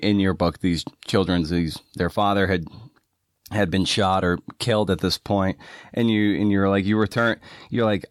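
Low-voiced man, halting casual interviewer. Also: no echo, clean studio sound. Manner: halting, repeats phrases, informal. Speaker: podcast host, interviewer.

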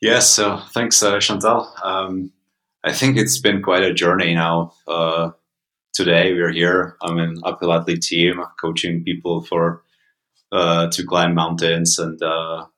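A man with a Czech accent speaks as an interview guest in a very low voice with a bright edge. His intonation stays flat as he explains things conversationally, feeling for words. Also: a little slow. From Czech Republic. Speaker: mountain coach and podcast guest.

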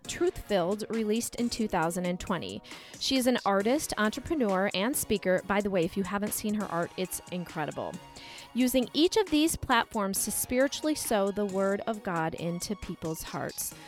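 A woman co-host reading a guest's introduction, adding lively asides to the script. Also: medium pitch, moderate pace, faint music behind. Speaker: podcast co-host.

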